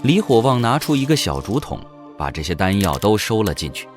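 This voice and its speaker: A man narrates a fantasy story in a deep voice. His scripted delivery is dramatic, with lively rises and falls. Faint music plays behind him. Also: brisk. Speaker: audiobook narrator.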